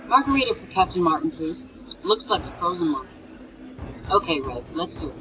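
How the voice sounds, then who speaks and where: Curious starship captain, female actor, very animated intonation, coaxing, sci-fi audio drama with music underneath. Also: on the low side, fairly quick, low-quality recording. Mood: breezy.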